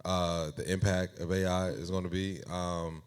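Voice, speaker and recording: A man with a deep, resonant voice talks casually as an interview guest. He works through his thoughts as he goes, with a halting, conversational delivery. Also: flat delivery, clean tone. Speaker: guest AI music creator.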